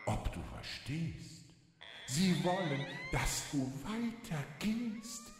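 Casting: enigmatic radio-play guide, very slow rhythmic chant with wide melodic swings, music behind. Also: female voice, sits low, very animated, full character voice. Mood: testing, insistent. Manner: repetitive, incantatory, deliberate wordplay. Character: cryptic female guide chanting riddles to a newcomer. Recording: reverberant hall with music.

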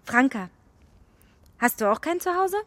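Young fairy pupil, children's audio drama: fairly high pitch, blunt, unsure of herself, kind underneath. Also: female voice, big pitch swings, unhurried, fairly bright tone, no echo, full character voice. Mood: gently urging. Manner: self-deprecating, matter-of-fact. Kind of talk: children's radio play acting.

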